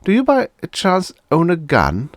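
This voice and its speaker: A man performs a cheerfully callous businessman in an audiobook tale. His delivery is airy and commanding, lifted in pitch with lively swings, and the sound is dry and close. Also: normal speed, no room sound. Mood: coaxing, smug. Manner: chummy, airy, casually commanding. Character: smug, cheerfully callous scheming businessman narrator.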